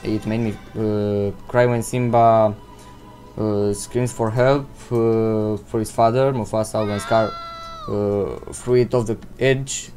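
A man's voice: fairly low pitch, fairly even intonation, slow pace, mellow, faint music behind. Hesitant teenage Romanian boy vlogging. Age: teens. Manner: hesitant, many uh fillers, non-native English phrasing. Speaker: channel host answering viewer questions. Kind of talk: casual Q&A vlog. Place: indoors at home, seated in gaming chair. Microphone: desk microphone.

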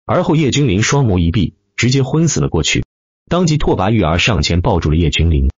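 Male audiobook narrator, dramatic fantasy, emphatic delivery, husky tone, dry but slightly dull recording. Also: on the low side, sing-song, brisk, no room sound. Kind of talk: dramatic scripted narration of a fantasy web novel.

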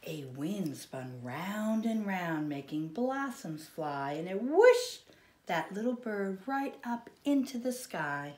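A middle-aged American woman reading a children's storybook expressively, with drawn-out dramatic emphasis and hushed asides. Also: medium pitch, sing-song. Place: living room, indoors. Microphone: camera a short distance away. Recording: echoey home room.

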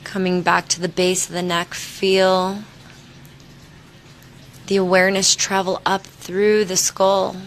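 A woman leading a guided meditation in a soothing, unhurried manner, leaving long pauses between short instructions. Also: medium pitch, flat delivery, a little hiss or hum. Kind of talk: guided meditation, body scan.

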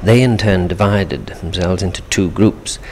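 A man's voice: low-pitched, a little slow, a little mellow. A man from the Isle of Man, a guest on a 1970s radio interview, explaining steadily and patiently. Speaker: Manx language expert guest. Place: radio studio. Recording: faint hiss on 1970s radio tape.

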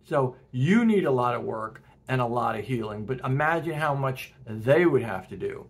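A middle-aged man with a husky, slightly breathy voice, talking straight to the camera from a room at home. His pitch sits in an ordinary middle range, and he speaks earnestly with animated emphasis. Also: normal speed. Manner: earnest, animated, gesturing, with short laughs. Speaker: self-help video host. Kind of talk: casual direct-to-camera talk. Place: home room with porch window and plants.

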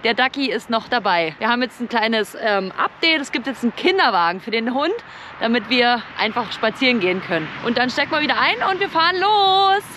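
High pitched lady doing a chatty camping vlog, explains stuff casually, noisy outside/car background. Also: sing-song, brisk, sharp tone. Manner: chatty, informal, explaining as she goes. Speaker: camping vlogger. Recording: clearly noisy, outdoor and vehicle ambience.

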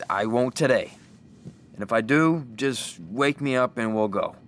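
A man playing a weary, sardonic single father, gruff but caring, who swears when defensive. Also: mid-range voice, lively intonation, takes it slowly, a little hiss or hum.

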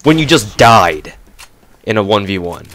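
Young male gamer with a stuffy nose, low-pitched and swinging widely; cussing, mocking rant. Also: big pitch swings, a little slow, fairly bright tone. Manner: ranting, profane, mocking. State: congested from a cold. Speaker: gamer commentator. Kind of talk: casual gameplay commentary.

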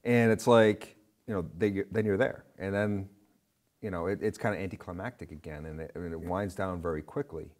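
Rambling low-voiced man chatting about film. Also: steady tone, normal speed, darkish tone. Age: middle-aged. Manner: rambling, full of "you know". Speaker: guest commentator. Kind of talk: casual film discussion. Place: indoor studio set with curtains. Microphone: lapel mic.

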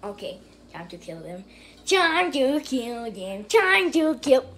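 A woman voicing a bossy, violent-tempered puppet chef in an erratic home-made comedy cooking show, shrill when worked up. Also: very animated, slow, exaggerated voice. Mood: menacing. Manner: erratic, drawling then bursting into shouts. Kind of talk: improvised puppet comedy sketch. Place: home kitchen and living room, indoors. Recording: noisy handheld home video.

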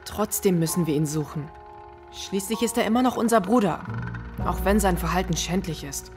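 A woman's voice: lowish voice, normal speed, lightly acted. A female performer playing an earnest, dutiful young older sibling in a German radio drama. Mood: concerned. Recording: music under the voice.

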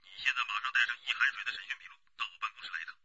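An actor playing a brisk police officer on the telephone in a radio drama. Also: male voice, mid-range voice, bright, forceful tone, husky, muffled recording.

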